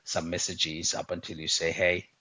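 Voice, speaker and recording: Host: South African man, low bright voice, dry home sound, chatty with lots of fillers. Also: monotone, normal speed, no room sound, dull audio. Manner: chatty, many fillers and restarts. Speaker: podcast host. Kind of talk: casual video-call interview. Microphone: video call.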